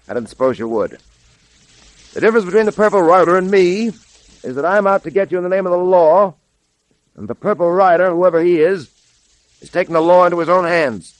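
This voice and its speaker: A determined lawman hero from a 1930s radio serial. He speaks in firm, measured declarations with a fairly high male voice. The vintage recording is very dry. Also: expressive, dry recording, low-quality recording. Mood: firm, grave. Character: principled, determined police detective hero. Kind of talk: 1930s radio drama acting.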